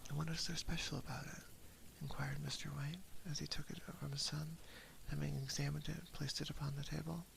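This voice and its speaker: A man softly reading a story aloud as ASMR, half-whispering close to a binaural microphone with a breathy voice. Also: fairly high pitch, flat delivery, fairly quick, hardly any pauses, slight background noise, low-quality recording. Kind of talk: ASMR reading of a classic horror short story.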